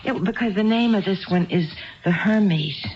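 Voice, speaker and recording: Actress as confused, lonely barfly wife: rambling, unsure, needy for approval. Vintage radio drama, dull limited-band sound. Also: female voice, medium pitch, normal speed, slight background noise, natural voice. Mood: confiding, hesitant. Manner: hesitant, rambling, seeking reassurance. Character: confused, chatty, insecure wife drinking alone in a bar. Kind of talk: 1970s radio drama acting. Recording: band-limited 1970s radio broadcast, faint music.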